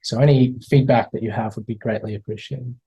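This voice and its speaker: A man's voice: medium pitch, steady tone, a little fast, mellow, slightly rough, muffled recording. Hesitant Australian man lecturing. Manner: hesitant, repeats words, many fillers. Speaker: guest speaker. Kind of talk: online lecture. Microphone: video call.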